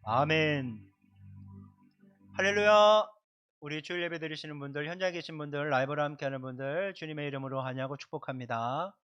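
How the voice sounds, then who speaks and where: High-pitched male pastor preaching. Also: fairly even intonation, racing, few pauses, fairly bright tone, clean tone, highs cut off. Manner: emphatic, repeats points and addresses the congregation directly. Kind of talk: Sunday sermon with prayer. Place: church service, livestreamed.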